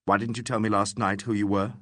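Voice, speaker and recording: A man reading an audiobook voices a calm, weary police inspector who questions a suspect firmly and dryly. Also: lowish voice, no room sound. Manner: measured, dry, firm.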